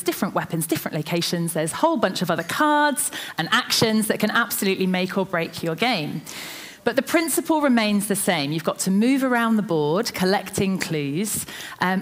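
A woman giving a sermon in church into a microphone, opening with a chatty, self-deprecating story. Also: mid-range voice. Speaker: preacher.

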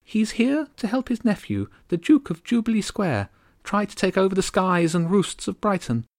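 A man's voice: big pitch swings, moderate pace, no room sound, studio quality. A man doing a tiny regal starling queen. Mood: indignant. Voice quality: high and prim. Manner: haughty, clipped and sharp.

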